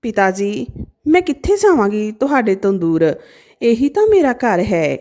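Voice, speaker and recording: A woman's voice: mid-range voice, sing-song, moderate pace, dark tone. A woman storyteller voicing an affectionate, trusting teenage daughter in an earnest, tender way. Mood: anxious, loving.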